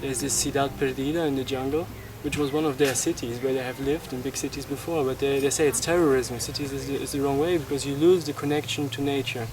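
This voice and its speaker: Even-toned young man over loud music. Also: medium pitch, fast talker, few pauses. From Germany, grew up in Portugal. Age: 20s. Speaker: event volunteer being interviewed. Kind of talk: casual personal account. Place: outdoors in a mountain village.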